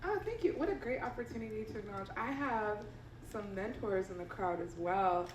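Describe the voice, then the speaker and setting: A woman's voice: medium pitch, expressive, a little fast. A woman in her thirties from the Bay Area, a filmmaker talking on a live panel in a chatty, thankful way with many restarts. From San Francisco Bay Area. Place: theater stage before an audience. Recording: echoey hall, audience noise, far mic.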